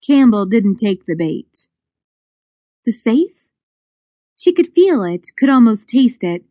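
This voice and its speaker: High-voiced woman narrating an audiobook. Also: very animated, takes it slowly, dark tone, dry recording, studio quality. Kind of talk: scripted suspense romance narration. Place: dry studio.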